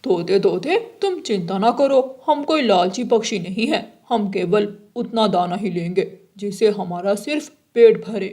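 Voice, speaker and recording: A woman plays a hungry, discouraged crow fellow from a children's cartoon. The voice is husky and pushes out its words loudly and insistently. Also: medium pitch, a little slow, hardly any pauses, close to an everyday voice. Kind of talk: children's cartoon voice acting in Hindi.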